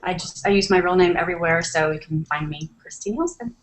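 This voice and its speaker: A woman's voice: fairly low pitch, fairly quick, a little hiss or hum. A woman from Texas, an artist, giving a brief, easygoing self-introduction. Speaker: guest artist.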